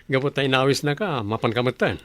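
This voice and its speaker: A man with a Filipino accent playing a sensible, reluctant young bachelor in a village comedy radio drama, bantering in a casual, measured way. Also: mid-range voice, lively intonation, a bit bright, dry recording, natural voice. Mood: casual, teasing.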